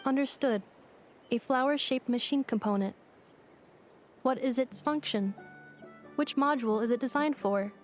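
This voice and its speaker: A high female voice for a video game machine being. She is literal-minded and curious, phrasing everything stiffly and formally over soft music. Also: unhurried, pauses often. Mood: clinical curiosity.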